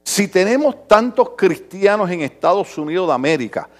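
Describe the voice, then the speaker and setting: A man preaching an animated sermon to a congregation, theatrical and humorous, swinging between murmured asides and drawn-out shouts. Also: high voice. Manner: humorous, mimics people in dialogue.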